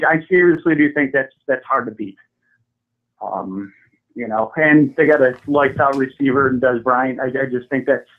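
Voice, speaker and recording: A man from the Buffalo area calling in to a sports show, laid-back and chuckling, with halting restarts. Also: medium pitch. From Buffalo area, New York. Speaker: call-in guest. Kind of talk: casual phone-in chat. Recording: tinny phone line.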